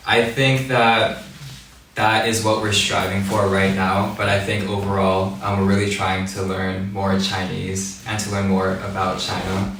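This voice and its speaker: A young man from Hawaii and Japan, a boy band vocalist, giving calm, measured interview answers. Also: deep voice, slight background noise. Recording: echoey room, mic at a distance.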